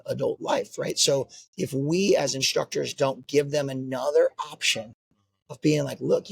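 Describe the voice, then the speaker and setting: A man's voice: medium pitch, gravelly, slightly rough, no echo. A man who runs a martial arts school, talking earnestly in an interview and often tagging his points with "right?". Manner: earnest, repeats "right?" as a tag. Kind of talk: interview conversation.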